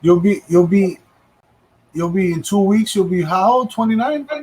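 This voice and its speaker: Joking man in his thirties, lively. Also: high-pitched, normal speed, breathy edge, low-quality recording. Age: 30s. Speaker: livestream co-host. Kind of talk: casual livestream chat.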